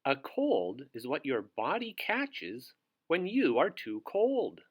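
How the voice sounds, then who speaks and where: A man's voice: medium pitch, wildly swooping pitch, slow, exaggerated voice. A man voicing a wise old wizard from a storybook, emphatic and instructive, with a scornful streak.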